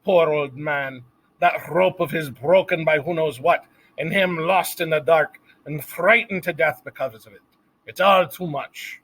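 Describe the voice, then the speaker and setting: A man's voice: moderate pace, a bit bright, poor audio. A man voicing a distraught female cook who wails and sobs. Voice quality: high, wailing, sobbing.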